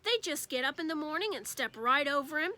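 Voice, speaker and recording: A woman reading a children's book aloud as an expressive storyteller. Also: high-pitched, fairly quick.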